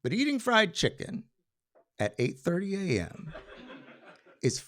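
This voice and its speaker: Male live storyteller, slightly high register, very lively intonation, conversational delivery with a comic sense of timing. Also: a little slow. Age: adult. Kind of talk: live personal storytelling on stage.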